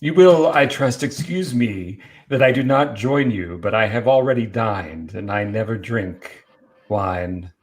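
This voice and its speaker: A man playing a proud, courtly old vampire count in an amateur dramatic read, speaking formally and deliberately. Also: lowish voice, lightly acted. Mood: courtly, ominous.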